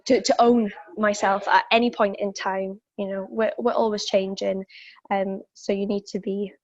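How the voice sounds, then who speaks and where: A woman's voice: medium pitch, level tone, normal speed, dry recording, dull audio. A young woman from South Wales with a Welsh accent, answering interview questions as a fitness instructor, thoughtful and full of fillers and restarts.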